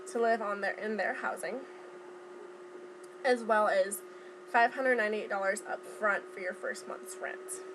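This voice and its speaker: A young woman chatting casually to viewers in a vlog, speaking informally and haltingly as she recalls things. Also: a little high, background noise. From Southern California. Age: young adult. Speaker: vlogger. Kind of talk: casual chat vlog, recounting from memory.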